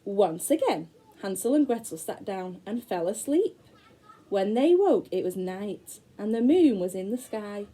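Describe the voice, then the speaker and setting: Female teacher, storybook read-aloud for small kids, mellow tone, very lively pitch. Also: poor audio. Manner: expressive, slow, dramatic storytelling with gasps. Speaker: primary school teacher.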